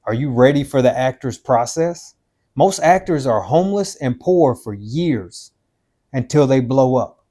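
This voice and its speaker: A man in his fifties gives motivational advice in a blunt, emphatic way, hammering the same points home and tossing in "man" and "dude". His voice sits a little high for a man but sounds warm and mellow. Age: 50s. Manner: blunt, emphatic, repeats himself, calls the listener "man" and "dude". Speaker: podcast host giving life advice.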